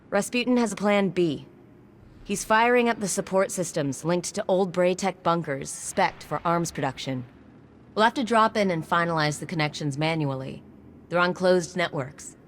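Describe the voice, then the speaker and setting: Lady playing a confident engineer, lower voice, deadpan jokes, mission briefing feel. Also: slight background noise, lightly acted. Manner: dry, wry humor, briefing tone. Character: wry, confident female engineer. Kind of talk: video game quest dialogue.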